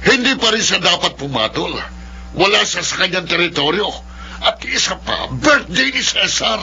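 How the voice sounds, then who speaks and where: An actor playing a stern middle-aged uncle in a radio drama, forceful and scolding. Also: male voice, high voice, lively intonation, normal speed, gravelly, heavily acted. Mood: indignant scolding. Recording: muffled, noisy lo-fi drama audio.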